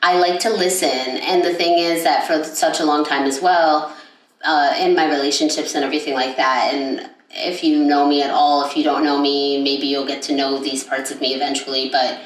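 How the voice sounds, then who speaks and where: A young woman talks in a low, deep-set voice, wandering through her thoughts with plenty of fillers and false starts. She sounds set back from the mic, and her voice bounces around a hard, echoey room. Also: fairly even intonation, normal speed, distant mic. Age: young adult. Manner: rambling, with many fillers and restarts. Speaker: podcast co-host. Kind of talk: casual chat.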